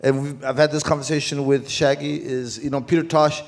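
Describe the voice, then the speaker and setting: A man who grew up in Zimbabwe, hosting a music conference panel and asking long, animated questions. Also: medium pitch, brisk. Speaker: panel host. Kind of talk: panel moderation.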